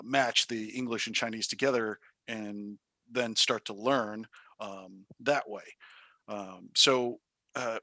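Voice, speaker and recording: Soft-spoken teacher with a dry sound. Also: male voice, mid-range voice, no room sound. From Taiwan. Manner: thoughtful, many ums and uhs, restarts. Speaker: high school math teacher, interview guest. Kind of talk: casual interview answers.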